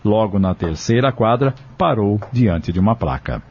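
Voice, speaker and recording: A man with a husky, mellow voice narrating a scripted audio drama. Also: fairly low pitch, lively intonation, normal speed, slight background noise. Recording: dull, lo-fi recording.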